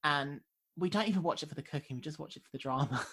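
A woman's voice: deep voice, fast talker, crowd noise. A young woman, chatting casually and filling her speech with "like" and "um". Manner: chatty, heavy on "like" and "um". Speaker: podcast co-host. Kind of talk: casual chat.

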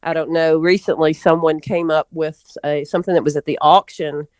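A woman's voice: sits low, steady tone, moderate pace, a little mellow, no echo. A middle-aged woman, reminiscing casually and telling folksy anecdotes as an interview guest. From Memphis, Tennessee, USA.

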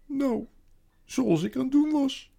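A storybook reader voicing a sorrowful, guilt-ridden male faun who wails and confesses haltingly. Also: sits high, expressive, creaky, mellow, pitched above the performer's own voice, full character voice. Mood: miserable confession. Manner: wailing, self-accusing, halting.

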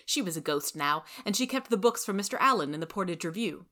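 Bright-voiced woman narrating a story. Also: on the low side, lively intonation, fast.

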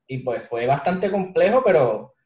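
A man co-hosting a podcast, telling a story in a relaxed, encouraging way with casual slang. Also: a little high, unhurried, highs cut off. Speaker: podcast co-host, IT instructor. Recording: roomy echo.

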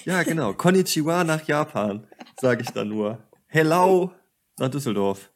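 A man's voice: fairly high pitch, expressive, a little slow. Male podcast host at home: chatty, jokey, voice slightly worn from a day of talking. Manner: jokey, chatty, addresses listeners. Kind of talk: casual podcast chat. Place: home apartment.

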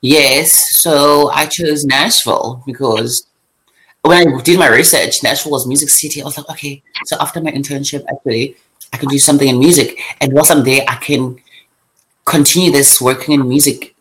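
A young woman with a South African accent talks in a relaxed podcast chat. She is lively, laughs easily and often restarts her sentences in quick bursts. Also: rumbling low, low-quality recording. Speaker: guest. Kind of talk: casual chat.

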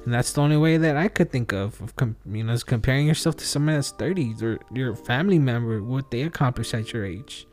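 A man in his thirties hosting a solo podcast, thinking out loud in a rambling, self-questioning way with many fillers and restarts. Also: medium pitch, a little fast, hardly any pauses, faint music behind. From outside the US. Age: 30s. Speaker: podcast host. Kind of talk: solo reflective podcast monologue.

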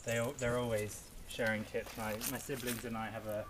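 A man with a fairly low voice reflects slowly and haltingly, often repeating a word before going on. Clear outdoor noise sits behind him. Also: level tone. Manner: thoughtful, halting, repeats words. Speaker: podcast guest. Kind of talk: casual chat while gardening.

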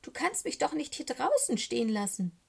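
A woman voicing a small cheeky young male raven in a children's storybook, croaky and excitable, with a wheedling, anxious way of talking. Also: sits high, moderate pace, pitched above the performer's own voice, exaggerated voice. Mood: desperate. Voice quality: croaky, squawky.

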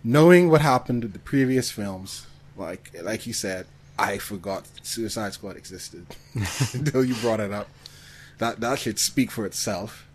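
A man co-hosting a podcast, talking casually about films with animated asides and mock quotes. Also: fairly low pitch, unhurried, a little hiss or hum. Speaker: podcast co-host. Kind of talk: casual film discussion.

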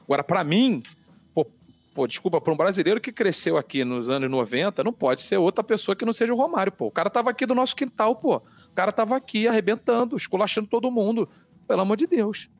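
A man with a Carioca accent, a podcast guest chatting in an animated, casual way and swearing often. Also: sits high, quick pace. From Rio de Janeiro, Brazil. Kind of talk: casual chat.

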